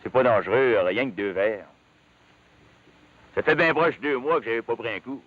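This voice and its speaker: An actor playing a rough, ardent rural logger in a 1950s television drama, with a Quebec French accent and earnest, colloquial speech. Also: male voice, mid-range voice, lively intonation, normal speed, natural voice. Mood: defensive. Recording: muffled, tinny 1950s television soundtrack.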